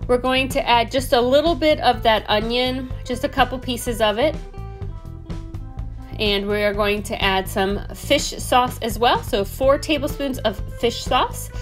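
A woman in her thirties, a homesteading host, chatting through recipe steps in a home kitchen. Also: on the high side. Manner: chatty step-by-step explaining. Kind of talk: casual cooking voice-over.

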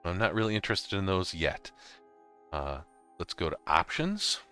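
A man narrating a casual gaming software tutorial, explaining things informally step by step. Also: low-pitched, big pitch swings, normal speed, clear, resonant tone, soft background music. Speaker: host of a gaming tutorial series. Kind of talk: casual software tutorial narration over slides and screen capture.

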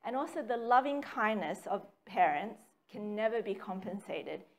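A young woman reading a prepared talk in a measured way, recorded by a camera across a small meeting room. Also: mid-range voice, expressive. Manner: measured, reads from notes with occasional ums. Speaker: speaker giving a dharma talk. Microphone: far camera, no mic seen.

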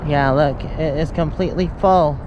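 A woman's voice: low voice, normal speed. A woman in her forties chatting casually to a handheld camera while driving and walking outdoors. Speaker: RV vlogger. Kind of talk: casual vlog chat. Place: outdoors and in a truck. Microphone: camera at arm's length. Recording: muffled with loud road noise.

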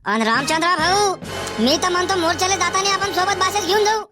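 Piercing, hot-headed cartoon villager. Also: male voice, very high voice, a little fast, over loud music. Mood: combative. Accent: Varhadi Marathi. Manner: brash, combative. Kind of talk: comedy cartoon dialogue.

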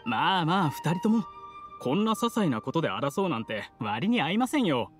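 A courteous young scholar in an anime game. His high male voice moves in lively swings as he soothes and placates, with faint music behind. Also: moderate pace. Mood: placating. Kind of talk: anime video game dialogue.

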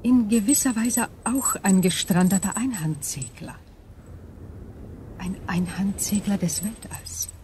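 A woman playing a calm, logical alien crystal being in a radio play, speaking in a measured, formal way. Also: mid-range voice, natural voice. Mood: calm, detached. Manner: measured, formal, matter-of-fact. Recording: light background noise.

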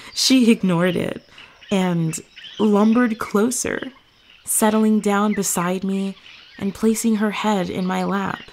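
A woman playing an earnest young witch who tells her story in a measured way, as in an audio drama. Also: medium pitch, a little mellow, slight background noise. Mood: tender, awed. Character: earnest young witch fondly recounting meeting her familiar. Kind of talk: audio fiction monologue, storytelling.

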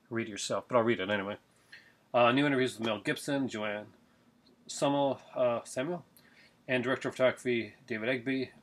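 A man's voice: mid-range voice, fairly bright tone. A middle-aged man chatting casually in a home unboxing vlog, rambling with lots of fillers and restarts, recorded on a webcam at his desk. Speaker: collector unboxing a Blu-ray. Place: small home room, indoors.

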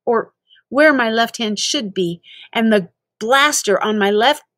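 A woman's voice: a little high, a little slow, fairly bright tone, no echo, studio quality. An older woman reading a children's story aloud as narrator. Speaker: story reader.

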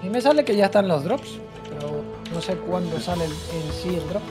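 A Canarian man in his thirties streaming a game, joking and teasing his friends in quick banter over a headset. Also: high voice, animated. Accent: Canarian Spanish. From Canary Islands. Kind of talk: casual chat while gaming. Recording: game music behind.

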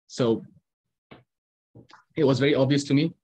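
A man's voice: medium pitch, a little slow, a little mellow. A man from Egypt, a student answering critics at his review, speaking hesitantly and repeating words. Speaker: student presenting a project. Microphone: video call. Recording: muffled video call audio.

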